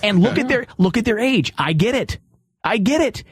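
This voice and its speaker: A man's voice: high voice, animated, normal speed, breathy edge, no room sound, studio quality. A man hosting an opinionated panel talk, arguing his points emphatically. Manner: emphatic, argumentative. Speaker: podcast host.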